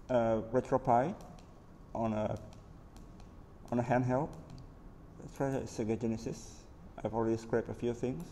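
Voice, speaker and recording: A man's voice: medium pitch, warm tone. Muffled-toned Indonesian man in an echoing room. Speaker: maker presenting his DIY electronics build. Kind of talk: casual unscripted hands-on overview. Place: indoors at a wooden table, hands-only camera view. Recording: reverberant room with background noise.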